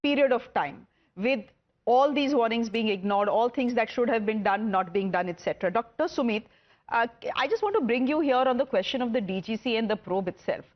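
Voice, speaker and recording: A woman's voice: a little high, animated, a little fast, muffled recording. A woman with an Indian accent moderating a live television news panel as its host.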